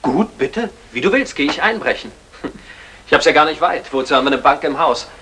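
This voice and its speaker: Lively, irritable husband. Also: male voice, a little high, a little fast. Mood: irritated, sarcastic. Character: irritable, sarcastic football-mad husband. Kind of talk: 1960 German crime radio drama acting. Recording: vintage radio drama, clicks, faint noise.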